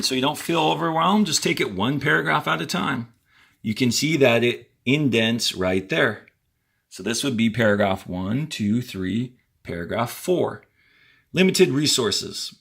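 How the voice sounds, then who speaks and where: A man's voice: mid-range voice, sharp tone, slightly rough. Male teacher reading aloud step by step. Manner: deliberate, step-by-step explaining with short directives. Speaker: fourth-grade teacher. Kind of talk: instructional read-aloud of a textbook to pupils.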